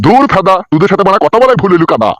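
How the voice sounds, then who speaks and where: Man playing grumpy touchy cartoon villager, rural West Bengali accent, slightly high voice, very fast, dismissive. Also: very animated, very noisy. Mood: irritated. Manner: irritable, dismissive. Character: grumpy, easily offended villager seeking the boss. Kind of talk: amateur comedy cartoon dub.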